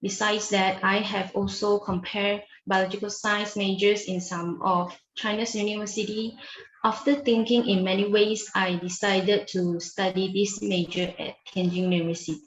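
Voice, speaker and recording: A woman's voice: medium pitch, level tone, highs cut off, slight background noise. Young woman in an echoey room. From Malaysia. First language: Chinese. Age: young adult. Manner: careful, with many hums and pauses. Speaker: interviewee, university student. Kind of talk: answering interview questions.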